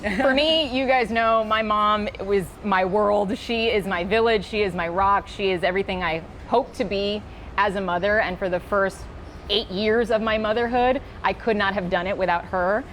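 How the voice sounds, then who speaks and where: A woman's voice: mid-range voice, normal speed, a bit bright, a little hiss or hum. A woman, a news anchor, speaking earnestly and emphatically in a casual, heartfelt panel conversation. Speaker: TV news anchor and working mother.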